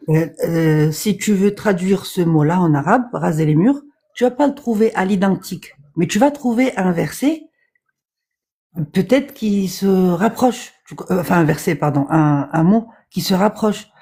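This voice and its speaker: A guest with a dark, husky voice explains a point patiently on a live stream. The talk is full of fillers and repeated words, but it stays steady. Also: medium pitch, moderate pace. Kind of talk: casual debate on a live stream.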